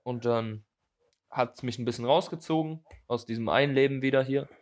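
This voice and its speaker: A man's voice: mid-range voice, moderate pace, very noisy. Rambling, slangy young man. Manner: slangy, rambling, trails off in fillers. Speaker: podcast co-host. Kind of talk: casual chat.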